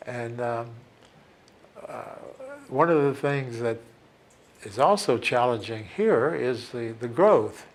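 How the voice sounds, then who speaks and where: A man's voice: medium pitch, lively intonation, slow, slight background noise. A man speaking as a church leader in a documentary interview, reflective and hesitant, with frequent fillers.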